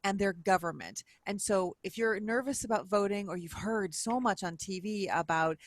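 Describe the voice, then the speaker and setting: A woman's voice: medium pitch, normal speed, no echo. Middle-aged woman guest, upbeat explainer, dry close sound. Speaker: guest author. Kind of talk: interview talk.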